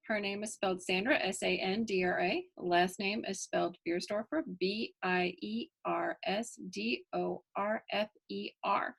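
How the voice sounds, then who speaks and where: A woman's voice: medium pitch, vocal fry, fairly bright tone, rough recording. A woman reading aloud for children, chatting playfully and directly to young listeners. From United States, Texas. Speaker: storyteller reading picture books aloud.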